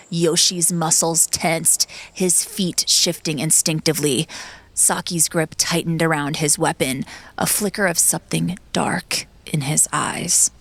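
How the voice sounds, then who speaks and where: A woman narrating a dramatic audiobook story in a hushed, solemn storytelling manner. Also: deep voice, gravelly, slightly rough.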